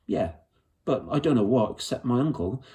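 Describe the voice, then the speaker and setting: A man's voice: mid-range voice, animated, normal speed, mellow, lightly acted. A man voicing a fierce, stubborn young girl in a children's storybook, earnest and eager, rambling breathlessly in ungrammatical colloquial speech. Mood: earnest, recalling.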